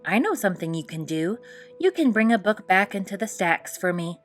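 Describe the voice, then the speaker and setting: A woman's voice: medium pitch, big pitch swings, normal speed, close to an everyday voice. Woman voicing a kindly, firm lady librarian over music. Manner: polite, orderly, instructing. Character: kindly but firm female head librarian.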